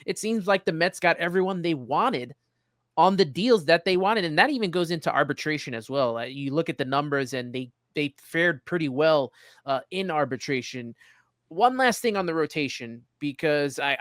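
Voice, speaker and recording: Male sports podcast host, slightly high-pitched, conversational interviewer, very dry recording. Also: a bit bright, no echo.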